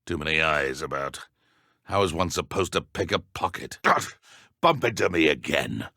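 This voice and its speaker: Gravelly, menacing British dark wizard. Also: male voice, extremely low pitch, animated, moderate pace, dry recording, clean studio sound, full character voice. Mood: cold menace. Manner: taunting, mock-polite threats. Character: menacing male dark wizard poacher, sneering and cruel. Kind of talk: video game villain combat barks and idle lines.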